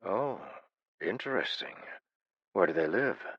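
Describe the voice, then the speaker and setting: A calm, wry grown farmer in a storybook, voiced by a man reading an audiobook, speaking low and gravelly with quiet amusement. The voice is husky and close, and the recording sounds dull and muffled. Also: measured pace, no room sound, full character voice.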